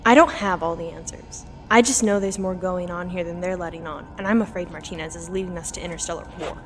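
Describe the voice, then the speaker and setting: An actress playing a resolute, wary crew leader in an audio drama, speaking firmly and earnestly. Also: female voice, lowish voice, fairly even intonation, quick pace, soft background music, lightly acted. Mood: grave, resolute. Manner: firm, earnest.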